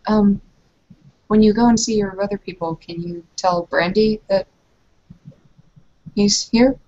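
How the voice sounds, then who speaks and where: A woman's voice: medium pitch, fairly even intonation, measured pace, a little mellow. Earnest woman roleplaying her character. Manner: earnest, stops to think mid-sentence. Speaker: tabletop roleplaying player. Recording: muffled lo-fi recording.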